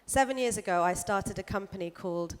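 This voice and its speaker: A woman gives a relaxed talk from a stage in a hall, and her voice rings with a long reverb. She speaks at a middle pitch and leans on fillers like "kind of" and "like" as she goes. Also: a little fast. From United Kingdom. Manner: casual, many fillers like "kind of" and "like". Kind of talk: conference talk. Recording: echoey hall.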